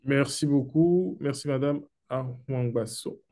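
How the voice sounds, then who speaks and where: Man, webinar moderator, mellow tone, formal, polite. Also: medium pitch, slow pace. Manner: courteous and formal, addresses officials by title.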